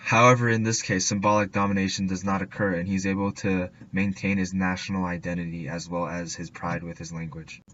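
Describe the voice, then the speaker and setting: Male student narrator, low voice, reads a scripted report, slightly dull audio. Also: flat delivery, brisk, hardly any pauses, fairly bright tone. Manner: reading a school report aloud. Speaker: student presenter.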